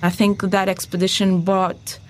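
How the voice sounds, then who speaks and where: A woman's voice: mid-range voice, flat delivery, a little slow, a little hiss or hum. A woman from Angola speaking reflectively in an interview as a scientist.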